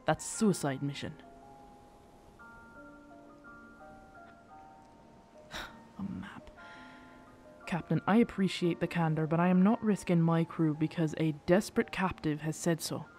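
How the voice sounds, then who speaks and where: An actor playing a gruff, guarded yet tender pirate captain in an intimate ASMR roleplay, speaking haltingly. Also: medium pitch, darkish tone, soft background music, close to an everyday voice. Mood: regretful, firm. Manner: slow, hesitant, halting, rough pirate phrasing. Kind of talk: ASMR roleplay audio drama, intimate second-person scene.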